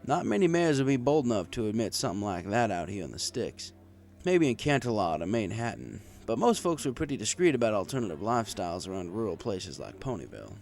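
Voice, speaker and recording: A man's voice: lowish voice, sing-song, fast talker, few pauses, darkish tone, slight background noise. A man reading a story aloud in the first person as a bartender narrator. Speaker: audiobook reader. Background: music.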